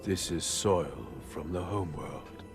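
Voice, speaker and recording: An actor voicing a solemn ancient alien guardian in a video game, speaking in a grave, reverent, measured way. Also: male voice, low-pitched, vocal fry. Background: game music.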